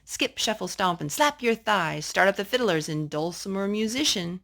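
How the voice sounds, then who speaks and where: A woman's voice: mid-range voice, lively intonation, moderate pace, vocal fry, fairly bright tone. A woman hosting a children's storytime, reading picture books aloud and chatting with a young child through simple questions and asides. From North Carolina.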